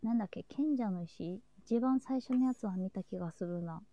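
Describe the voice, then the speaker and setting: Young woman, medium pitch, casual chat, informal livestream co-host. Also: normal speed, warm tone, dry recording, dull audio.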